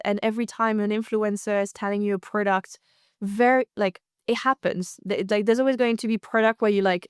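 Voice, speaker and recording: A woman's voice: mid-range voice, darkish tone, smooth, no room sound, clean studio sound. A woman co-hosting a casual podcast, chatting loosely with lots of fillers and restarts. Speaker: co-host.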